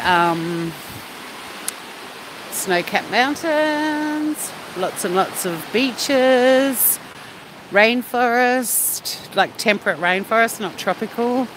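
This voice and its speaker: Aussie woman just chatting to her stream, takes her time, cheeky and blunt, loud rushing noise behind her. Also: mid-range voice. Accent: Australian. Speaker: live stream host. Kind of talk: casual chat replying to live viewers. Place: outdoors at a beach. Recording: loud steady wind or surf noise.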